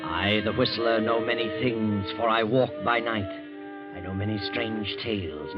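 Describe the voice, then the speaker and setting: A man playing an ominous, all-knowing mystery narrator who speaks slowly and tauntingly in 1940s radio style, on a dull old recording. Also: medium pitch, lightly acted.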